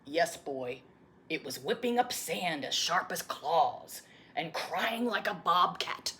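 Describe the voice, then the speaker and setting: A woman voicing a gentle old grandfather who tells a tale slowly, gravely and softly in a storybook read-aloud. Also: fairly low pitch, animated, full character voice. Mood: solemn. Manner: slow, grave, hushed storytelling.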